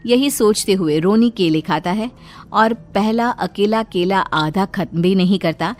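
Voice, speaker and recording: A woman's voice: medium pitch, measured pace, soft background music. A woman narrating a scripted children's story as storyteller.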